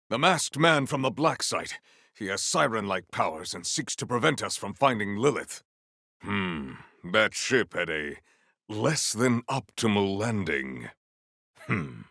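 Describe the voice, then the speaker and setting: An actor playing a formal, valiant, earnest warrior hero in a video game, with stately, theatrical diction. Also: male voice, on the low side, vocal fry, pushed and bright, dry recording, clean studio sound, exaggerated voice. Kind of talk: scripted video game character lines.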